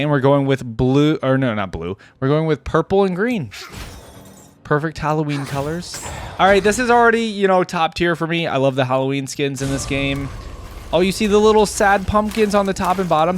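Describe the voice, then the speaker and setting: A man's voice: fairly high pitch, a little fast, a little hiss or hum. A man giving casual reaction commentary on video game skins, rambling and interjecting as he thinks aloud.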